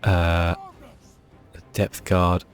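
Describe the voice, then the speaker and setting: A man giving soft-spoken ASMR commentary as he plays a game, thinking aloud in a relaxed way. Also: deep voice, drawn-out words, soft background music. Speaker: gaming video host.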